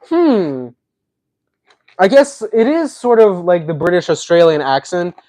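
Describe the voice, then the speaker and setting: A man's voice: high-pitched, animated, measured pace, a little mellow, no echo. A man, a native Russian speaker with a slight Russian accent, hosting a casual livestream. He chats while building, laughs often and answers viewers' comments. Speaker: livestream host.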